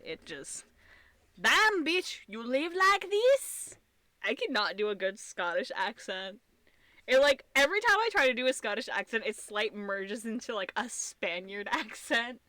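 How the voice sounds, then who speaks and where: A woman's voice: mid-range voice, expressive, moderate pace, sharp tone, no room sound. A woman with an American accent hosting a casual, goofy livestream in a playful, theatrical way. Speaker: streamer, host.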